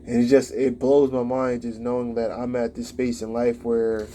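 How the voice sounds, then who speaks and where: A man's voice: mid-range voice, completely flat delivery, moderate pace, warm tone, slight background noise. A man in his late twenties giving casual spiritual advice in a rambling monologue, full of "like" fillers. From United States. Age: late 20s.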